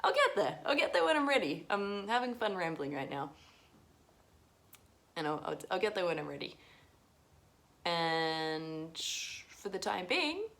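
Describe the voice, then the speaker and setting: Woman vlogging, rambly, laughs at herself a lot, kinda low voice. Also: very animated, measured pace, creaky, long pauses, a bit bright. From Russia. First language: Russian. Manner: rambling, self-deprecating, laughs often. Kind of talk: unscripted vlog ramble.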